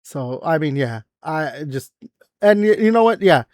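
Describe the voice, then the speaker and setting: A man's voice: a little high, expressive, unhurried, a little mellow, no echo, studio quality. A man in his forties hosting a casual gaming podcast, rambling with lots of fillers and the odd swear. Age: 40s. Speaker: podcast host. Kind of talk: casual gaming news chat.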